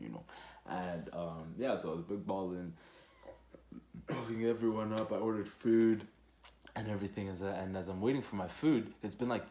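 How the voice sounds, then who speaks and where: A young man from Rwanda telling a personal story casually, in an animated, slangy and profane way. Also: low-pitched. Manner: animated, slangy, profane, with acted-out quotes and sound effects. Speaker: storytelling vlogger. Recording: echoey, roomy sound.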